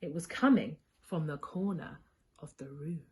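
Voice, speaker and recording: A woman's voice: sits low, measured pace, slight background noise. A woman reading a children's story expressively as a school storyteller, leaning on key words for young listeners.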